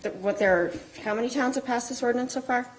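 A woman's voice: a little high, quick pace, slight background noise, low-quality recording. A woman speaking as a board member at a public meeting, pressing her point insistently and pushing back, picked up by a room camera across the meeting room. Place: town meeting room, indoors.

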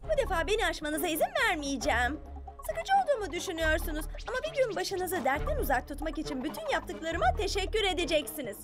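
A woman's voice: extremely high pitch, sing-song, quick pace. A woman voicing a prim, bossy little superhero girl in an earnest, scolding manner for a cartoon dub. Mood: exasperated. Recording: music behind the voice.

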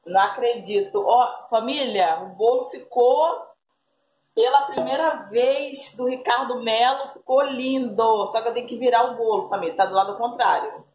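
Woman in her thirties from Brazil, chatty home vlogger, mid pitch, noisy phone recording. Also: normal speed, a little mellow, muffled recording. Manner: chatty, keeps calling viewers "família". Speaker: family vlogger. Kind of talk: casual home vlog narration. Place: home kitchen and dining room.

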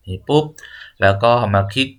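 A man presenting an unscripted software walkthrough, explaining step by step in a polite, halting way. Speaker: tutorial presenter.

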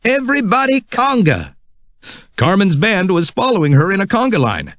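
Man for children's storybook narration: scripted, highly expressive pitch, close dry sound. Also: fairly quick, no room sound.

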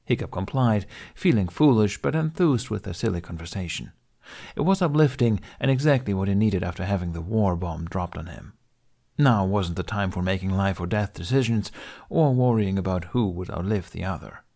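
Low-pitched male audiobook narrator. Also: normal speed, mellow. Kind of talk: scripted story narration.

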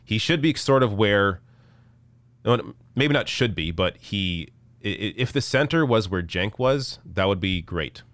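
A man in his thirties hosting a live political stream, reading chat and answering in a casual, halting way while losing his voice. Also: lowish voice, lively intonation, a bit bright, dry recording. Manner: casual, halting, many restarts. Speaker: political show host. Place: small dark room, indoors. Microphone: studio mic on arm in front.

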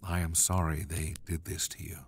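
A man voicing a gentle but resolute king who speaks softly to his men. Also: low voice, expressive, a little slow, husky, exaggerated voice. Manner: soft-spoken, quiet and gentle.

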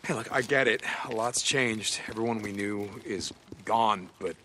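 An actor playing a gruff, weary male biker drifter in a video game, muttering tersely. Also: lowish voice, moderate pace, pushed and bright, a little hiss or hum. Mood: weary, probing. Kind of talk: video game cutscene acting.

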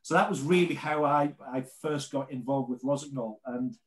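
A man's voice: mid-range voice, moderate pace. A man in his late forties with a Geordie accent, presenting chattily and informally as a guest on a video call. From North East England. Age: late 40s. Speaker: ski brand representative and guest presenter.

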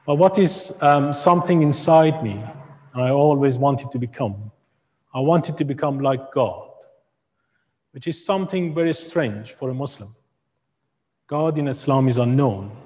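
A man in his thirties from Iran, speaking English with an Iranian accent, giving a halting personal testimony as a guest speaker in a church hall. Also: medium pitch, darkish tone. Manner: halting, with many ums and broken grammar. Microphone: far camera. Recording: echoey hall from far camera.

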